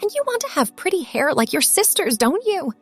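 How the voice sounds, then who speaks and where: An insistent storybook mother, acted by a woman narrator, with a fairly high voice. She is coaxing, firm and relentless, pushing her child for an answer over faint music. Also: very animated, moderate pace. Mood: pressing, insistent.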